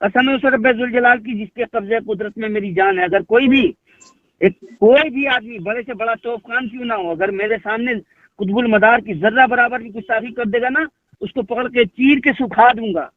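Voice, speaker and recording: A high-voiced man speaks over a narrowband phone line. He declares things at length, repeats his phrases for emphasis and throws in rhetorical questions. The sound is tinny and dry. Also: normal speed, dry recording. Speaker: religious speaker. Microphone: phone call. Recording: muffled narrowband phone call recording.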